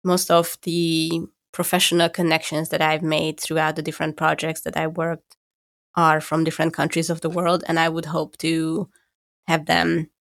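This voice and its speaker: A woman with a Hungarian accent speaks in a low, gravelly register. She talks slowly and reflectively, often stopping to search for the right word. The conversation has a relaxed, at-home podcast feel. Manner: thoughtful, searching for words with restarts. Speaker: podcast co-host. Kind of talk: casual conversation.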